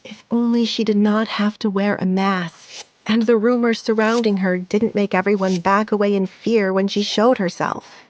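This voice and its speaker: A woman's voice: mid-range voice, fairly even intonation, moderate pace, no room sound, muffled recording. Female audio drama narrator. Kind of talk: scripted dramatic story narration.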